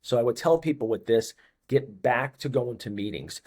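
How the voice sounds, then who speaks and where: A man's voice: medium pitch, lively intonation, moderate pace, darkish tone, breathy edge. A man in his fifties with a Boston accent, a fitness coach talking as a podcast guest, stressing his points by repeating phrases. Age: 50s. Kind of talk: casual podcast chat.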